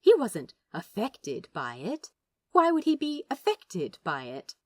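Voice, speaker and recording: A woman's voice: mid-range voice, huge pitch swings, takes it slowly, no room sound. A woman reading fiction aloud as a narrator, in a dramatic, emotive storytelling style. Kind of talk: expressive scripted audiobook narration.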